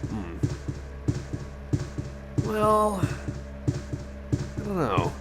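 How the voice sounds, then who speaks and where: Hesitant, slow-talking man in ASMR roleplay. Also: high voice, wildly swooping pitch, low-quality recording. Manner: hesitant, halting, stammering, repeating phrases. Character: nervous, friendly male cyborg companion lost in endless corridors. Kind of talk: rambling improvised ASMR roleplay. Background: music.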